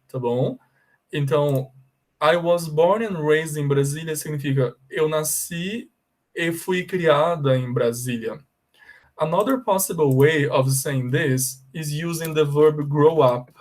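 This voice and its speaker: Slow, patient Brazilian English teacher. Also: male voice, a little high, a little mellow, low-quality recording. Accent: Brazilian Portuguese. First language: Portuguese. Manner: slow, deliberate explaining with frequent check-ins. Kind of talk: bilingual language lesson.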